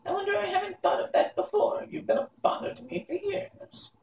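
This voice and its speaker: Picture a cruel old witch woman who hisses her threats in a shrill, breathy whisper, grim and cold, as a man reading a storybook puts her on. She sounds far away in an echoey room with faint hiss behind her. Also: lively intonation, fairly quick, darkish tone, full character voice. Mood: grim menace. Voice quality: high breathy whispery cackle.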